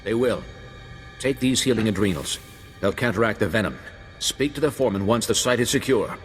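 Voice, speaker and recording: A man's voice: fairly low pitch, expressive, faint music behind, natural voice. Formal army general played by a man, reverberant. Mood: courteous, commanding. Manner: formal, measured. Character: courteous, firm male army general.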